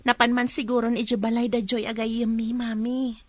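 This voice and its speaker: A woman's voice: on the high side, level tone, brisk, a little mellow, dry recording, lightly acted. A young woman with an Ilocano accent playing a dutiful young nurse in a radio drama. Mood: meek, explaining.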